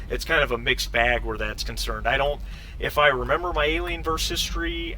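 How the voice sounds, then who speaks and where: A man's voice: mid-range voice, bright, forceful tone, poor audio. A man acting as game master of a tabletop game, explaining things in a conversational way and often correcting himself.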